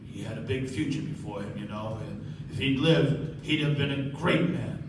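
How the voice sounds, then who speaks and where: A man playing a grieving, proud old father in a live radio play, speaking plainly and haltingly. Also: medium pitch, natural voice. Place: live stage performance. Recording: echoey distant stage, background noise.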